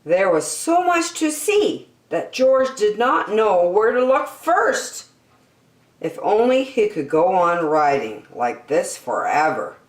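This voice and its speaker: Lady doing kids story time, really up and down melodic delivery, echoey room. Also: medium pitch. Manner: simple, deliberate, expressive phrasing for young listeners. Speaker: children's story-time host. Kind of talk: reading a children's picture book aloud. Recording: echoey room, distant microphone.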